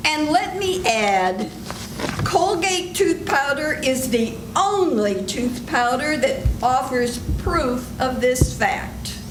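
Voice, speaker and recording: A woman's voice: high voice, animated, slow pace. A woman reading a 1940s-style radio announcement and commercial, with emphatic, persuasive delivery. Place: senior center stage. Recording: echoey hall with noise.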